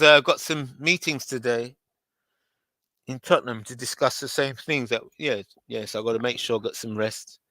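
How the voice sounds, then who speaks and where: Hoarse guy hosting a stream, slow and rambly, kinda high voice. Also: pushed and bright, no room sound. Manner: rambling, repeats himself, many restarts. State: hoarse, voice going. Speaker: livestream host. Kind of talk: casual live chat.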